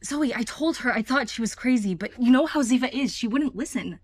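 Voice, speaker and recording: A woman playing an anxious, hurried family friend in an audio drama, agitated and confiding, heard through a telephone filter. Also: a little high, expressive. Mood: exasperated, hurried. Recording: dull telephone-filtered sound.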